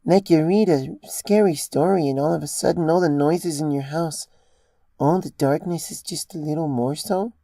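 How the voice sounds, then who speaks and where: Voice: mid-range voice, normal speed, creaky, warm tone, no echo, studio quality, pitched above the performer's own voice. A narrator voicing an adult female pony, a mother who confides in a hesitant, earnest way, in a story reading. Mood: hesitant, confiding.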